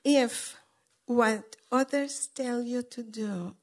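High-pitched woman preaching, non-native English. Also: very slow, darkish tone. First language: not English. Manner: emphatic, repetitive, short simple phrases. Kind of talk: sermon with pauses for an interpreter.

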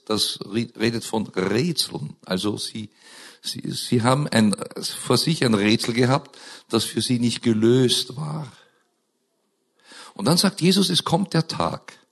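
Male pastor, hoarse and scratchy, lowish voice, slow and earnest sermon delivery with emphatic rises. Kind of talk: sermon with prayer and scripture reading. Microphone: microphone.

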